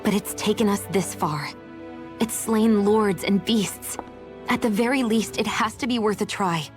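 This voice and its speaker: A woman plays a resolute young heroine in a game cutscene. She speaks in a measured, serious way at a middling pitch, with faint music beneath. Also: close to an everyday voice. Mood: solemn, resolute. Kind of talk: video game cutscene acting.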